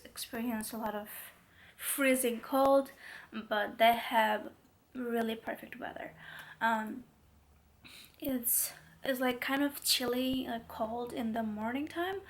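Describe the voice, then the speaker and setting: A young woman vlogging casually to camera with a stuffy, congested voice, chatty and rambling with many fillers. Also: fairly high pitch. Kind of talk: casual talking-to-camera vlog.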